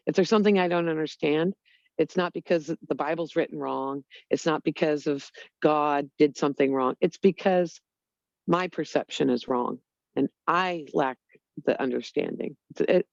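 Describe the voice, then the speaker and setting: A woman's voice: deep voice, gravelly, a little mellow, no echo, dull audio. A woman talking earnestly in a casual group chat, rambling with lots of fillers. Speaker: Bible study group member.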